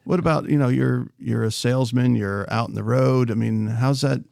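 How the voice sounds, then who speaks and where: A man's voice: mid-range voice, steady tone, moderate pace, vocal fry, a little mellow, dry recording, clean studio sound. A man with a Pennsylvania accent hosting a casual podcast interview, asking teasing, playful questions. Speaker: podcast host.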